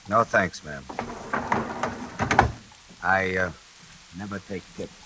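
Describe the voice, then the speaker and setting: An actor playing a sinister night elevator operator in a classic radio suspense drama, laconic and coolly insinuating. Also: male voice, low-pitched, takes it slowly. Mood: curt, guarded. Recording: hissy, noisy old radio recording.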